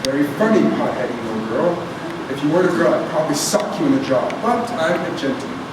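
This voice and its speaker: A man's voice: fairly high pitch, very animated, quick pace. A man playing a cocky, crude teenage ringleader, very echoey. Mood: swaggering. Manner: swaggering, foul-mouthed, bragging. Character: cocky, crude, hot-tempered teenage boy gang leader. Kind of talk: staged script reading. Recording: very echoey room, distant mic, clear noise.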